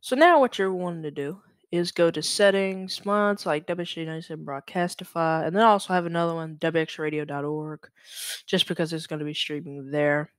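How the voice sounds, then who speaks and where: A young man presenting a software tutorial in a casual, halting, informal way, thinking aloud as he goes. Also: fairly high pitch, smooth, no echo, studio quality.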